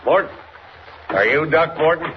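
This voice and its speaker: A man's voice: on the high side, expressive, measured pace. An actor playing a suspicious American police sergeant in 1940s radio drama, blunt, clipped and colloquial in his questioning. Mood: puzzled, probing. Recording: muffled, noisy vintage radio recording.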